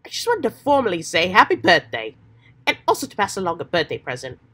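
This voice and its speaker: A woman voicing an earnest, excitable, old-fashioned adventurous teenage boy with hammy, folksy slang, while getting over a cold. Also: on the low side, quick pace, exaggerated voice. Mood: polite, hesitant. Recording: faint background noise.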